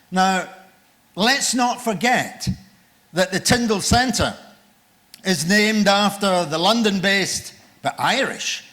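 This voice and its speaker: An older man with a loud voice, chairing a conference session and formally introducing a guest speaker after a joking opening. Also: sits high. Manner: jokey, then reads out a guest's biography. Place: conference room.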